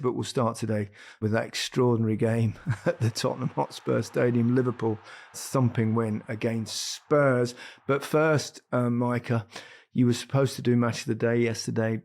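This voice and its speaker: A man hosting a casual football podcast, relaxed and chuckling as he leads the talk. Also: medium pitch, normal speed, hardly any pauses. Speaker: host.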